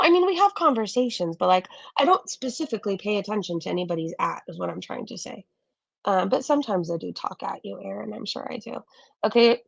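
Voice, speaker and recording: A woman's voice: mid-range voice, dry recording, lo-fi sound. A woman hosting a casual gossip livestream who is losing her voice. She swears freely, laughs often and greets chat members by name.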